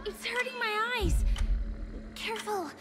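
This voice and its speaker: A woman's voice: very high-pitched, a little slow. An actress voicing a timid, frightened young girl in a video game. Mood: fretful, wary. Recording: game music behind voice.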